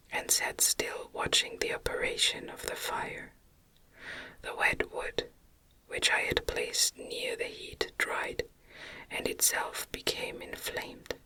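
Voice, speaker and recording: A narrator reading a gothic novel as a gentle, deliberate ASMR whisper.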